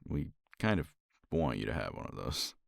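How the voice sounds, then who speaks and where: Casting: deep, gravelly-voiced man, tender partner roleplay, lively intonation. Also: moderate pace, dry recording, studio quality. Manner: tender, reassuring partner talk to a listener. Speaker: ASMR roleplay performer. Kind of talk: romantic ASMR roleplay, intimate soft talk.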